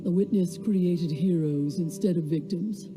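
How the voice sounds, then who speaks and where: Woman voicing spirited game fighter gal, lowish voice, casual n quippy, music playing under her. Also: unhurried, lightly acted, rough recording. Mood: upbeat, wry. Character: spirited woman fighter rallying allies, upbeat and wry. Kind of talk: video game character dialogue. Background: background music.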